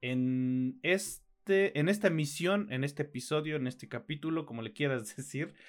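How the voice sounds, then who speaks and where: Tired Mexican man chatting, medium-pitched voice. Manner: rambling, informal, self-correcting. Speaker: podcast co-host. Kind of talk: casual film podcast chat.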